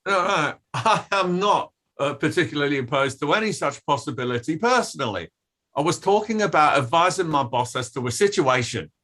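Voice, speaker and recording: An actor playing a defensive, evasive company safety official testifying at an inquiry in a play reading, formal and guarded. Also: male voice, on the high side, animated, a little fast, fairly bright tone. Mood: flustered, evasive. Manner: guarded, formal, clipped denials with hesitant hedging. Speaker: actor in an online table read.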